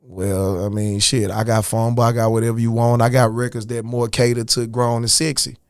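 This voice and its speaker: A man talking casually as a rapper guest on a podcast, frank and profane, with loose restarts and a relaxed, unhurried way of explaining himself. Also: medium pitch, no room sound, clean studio sound. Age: adult, older than his early years. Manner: laid-back, frank, profane, restarts and "you know what I'm saying".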